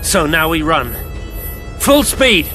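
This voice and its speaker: A man's voice: on the high side, very animated, takes it slowly, loud music behind the voice. An actor playing a resolute male starship commander giving clipped orders in a sci-fi audio drama. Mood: grim.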